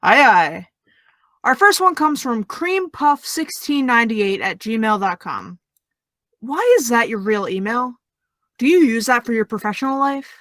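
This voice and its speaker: A woman's voice: fairly high pitch, big pitch swings, unhurried, fairly bright tone, no room sound, studio quality. An actress playing a snarky teenage girl who co-hosts a podcast and reads the mailbag with teasing sarcasm. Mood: sarcastic. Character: snarky teen girl podcaster reading mail. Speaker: podcast co-host.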